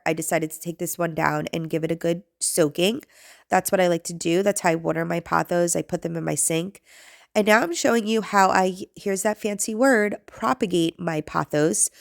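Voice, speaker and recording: Thirties mom vlogger, gravelly and nasal, rambling and self-deprecating, lowish voice, dry close sound. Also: female voice, dry recording. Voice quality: raspy and nasal. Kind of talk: chatty voice-over narration.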